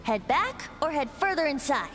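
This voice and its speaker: An actress playing a courteous, brisk young female investigator in a video game, speaking formally and politely. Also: high-pitched, big pitch swings. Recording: long reverb, game music behind.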